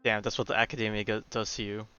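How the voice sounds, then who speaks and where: A man's voice: fairly low pitch, level tone, quick pace, lo-fi sound, a crowd in the background. A man streaming a game with casual, slangy, offhand commentary on what happens. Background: faint game music.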